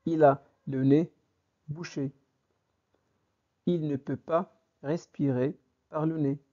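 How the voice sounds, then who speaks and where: Male teacher, unhurried and methodical, repeats phrases for learners, slightly muffled recording. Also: fairly high pitch, steady tone, warm tone. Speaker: French teacher. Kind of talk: slow vocabulary lesson. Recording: dull lo-fi recording.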